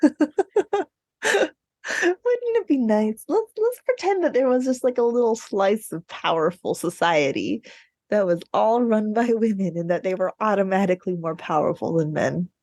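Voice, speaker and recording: A woman's voice: mid-range voice, expressive, measured pace, a little mellow, dry recording, studio quality. A woman co-hosting a podcast in casual book chat, informal and wry, with the odd sweary aside. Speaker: podcast co-host.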